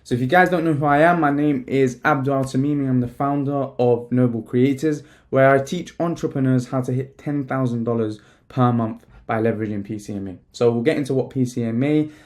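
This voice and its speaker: A young man from Palestine, talking to the camera in a casual, emphatic vlog style, with slang and a coach's pitch. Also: steady tone, a little fast, hardly any pauses, a little mellow. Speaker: online business coach.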